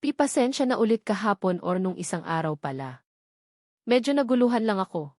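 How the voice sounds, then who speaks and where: A gentle, awkward man in an audio romance acted out by a female narrator. He is apologetic and soft-spoken, stumbling hesitantly over his words. The recording is close and dry. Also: medium pitch, animated, fairly quick, dry recording, close to an everyday voice.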